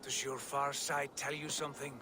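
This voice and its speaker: A man's voice: mid-range voice, little pitch movement, moderate pace, background noise, lightly acted. Tyr, resolute loyal war god, played by a man. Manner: earnest, resolute. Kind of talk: video game cutscene acting.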